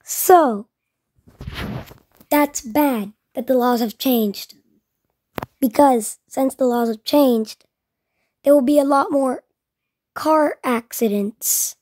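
A woman's voice: high voice, takes it slowly, long pauses, darkish tone. A woman giving casual reaction commentary, musing and reasoning aloud. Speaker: reaction video host.

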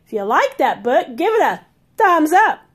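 A woman reading a children's story aloud as a read-along host, expressive and sing-song, with careful enunciation. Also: sits high, normal speed.

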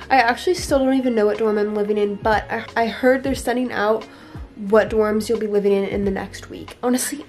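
A woman's voice: fairly high pitch, darkish tone. A young woman in her late teens, congested with a cold, chatting casually and upbeat to a handheld camera at home, full of "like". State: congested, stuffy-nosed. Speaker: vlogger. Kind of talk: casual vlog chat. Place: sunroom at home, indoors. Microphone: camera held at arm's length.

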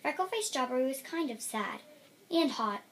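A woman reading a children's storybook aloud in an expressive way, acting out the emphatic words. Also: sits high, normal speed, slight background noise. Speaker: storybook reader.

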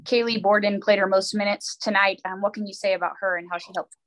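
A woman's voice: mid-range voice, level tone, fast, poor audio. A woman, a sports reporter asking questions at a press conference over a video call.

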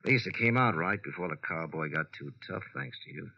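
Low voiced tired american club guy, resigned gambler type, old radio show, kinda muffled. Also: expressive, a little fast, creaky, full character voice. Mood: subdued, grateful. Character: weary male nightclub man, resigned, gambler's philosophy. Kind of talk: 1940s radio acting. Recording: dull old radio recording.

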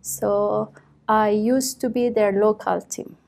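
A woman's voice: medium pitch, fairly even intonation, slow pace, gravelly, a little mellow. A woman in her thirties from Iran with an Iranian accent, answering exam questions with many fillers and restarts in a small office. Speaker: test candidate. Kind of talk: mock speaking exam answers.

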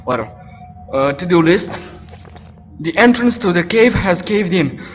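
A man with a Romanian background giving loud, goofy commentary while playing a horror game, taunting the enemies. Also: high voice, very animated, normal speed. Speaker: let's play gamer. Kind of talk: casual gameplay commentary. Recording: roomy reverb with game music behind.

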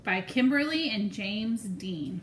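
A woman in her thirties reading a children's picture book aloud with expressive, deliberate storytelling, recorded in a room at home. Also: mid-range voice, roomy sound, a little hiss or hum. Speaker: elementary school staff member reading aloud. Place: small room at home, indoors.

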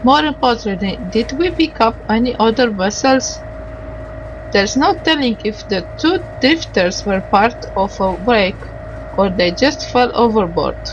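A woman doing a casual game playthrough, reading the on-screen dialogue aloud in a halting, tentative way and sounding tired. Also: on the high side, lively intonation, muffled recording, noisy background.

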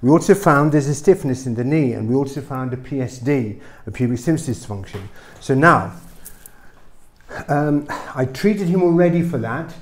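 Emphatic man explaining in a clinic. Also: medium pitch. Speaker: chiropractor. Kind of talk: explaining a treatment to camera. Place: small clinic treatment room.

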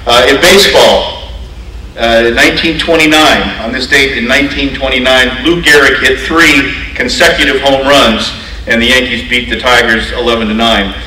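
Middle-aged man, university president at a podium, halting delivery, bright tone; echoey auditorium, slightly distant mic. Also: mid-range voice, a little hiss or hum. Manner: halting, many "uh" pauses, reciting dates. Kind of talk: awards ceremony speech. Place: stage of a large auditorium. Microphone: handheld microphone at a podium. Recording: echoey hall, heard from afar.

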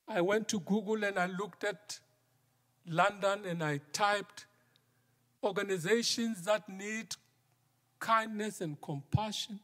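A middle-aged male minister preaching a sermon from the pulpit, in a measured style that sometimes drops to a near whisper for emphasis. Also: sits high, fairly bright tone. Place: large wooden church sanctuary, at the pulpit. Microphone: pulpit microphone. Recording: long church reverb.

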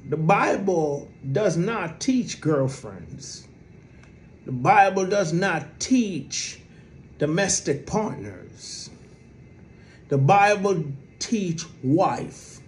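A man with a soft voice preaching a sermon in a lively, conversational way, with many fillers. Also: high-pitched, drawn-out words, a little hiss or hum.

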